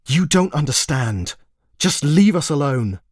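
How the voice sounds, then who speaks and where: A small boy from a storybook, acted out by a man, fiercely protective and defiant. His voice leaps far up in pitch and pushes out loudly. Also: big pitch swings, a little slow, slightly rough, dry recording, clean studio sound, exaggerated voice.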